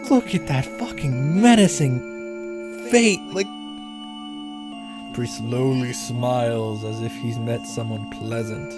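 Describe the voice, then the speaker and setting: A man's voice: medium pitch, slow, pauses often. A man reading visual novel narration aloud and reacting casually with blunt asides. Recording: clear music behind the voice.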